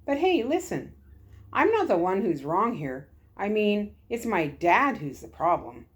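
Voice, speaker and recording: A woman's voice: mid-range voice, sing-song, unhurried. A woman voicing a troubled young girl in a story, earnest and hesitant. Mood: defensive.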